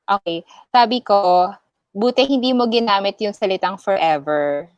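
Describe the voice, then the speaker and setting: A woman's voice: mid-range voice, no echo, muffled recording. Filipino woman, host, video call, playful, dry close audio. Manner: playful, quick short backchannels. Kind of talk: casual online interview.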